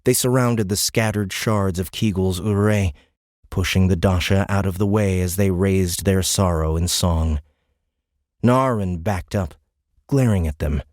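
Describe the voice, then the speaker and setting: A man with a deep, low-pitched voice narrates a science fiction story. His delivery is dramatic and deliberate, recorded very dry and close in a studio. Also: a little mellow, dry recording. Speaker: audiobook narrator. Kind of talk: scripted sci-fi story narration.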